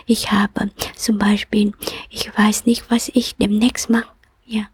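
Interviewte Nonne, Frau mittleren Alters mit vietnamesischem Akzent, hauchig, langsam, ruhig. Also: female voice, mid-range voice, flat delivery, takes it slowly, breathy edge. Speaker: Buddhist nun, interviewee. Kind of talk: calm reflective interview answers.

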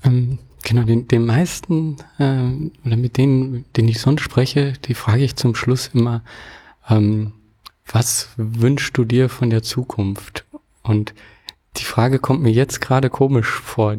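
A man's voice: medium pitch, steady tone, measured pace, warm tone. A man interviewing, asking questions in a hesitant way. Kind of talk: casual conversational interview.

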